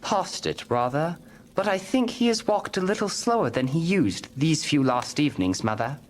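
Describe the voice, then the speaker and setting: Subdued youngster, low voice, gentle, expressive intonation, for storybook drama. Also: female voice, normal speed, slight background noise. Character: quiet, subdued child of grieving family. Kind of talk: dramatized audiobook acting.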